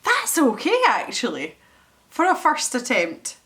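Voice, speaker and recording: A woman's voice: high voice, wildly swooping pitch, a little slow, fairly bright tone. A young Scottish woman chatting casually as she paints, thinking aloud and poking fun at herself. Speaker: art channel host. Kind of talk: casual chat while painting.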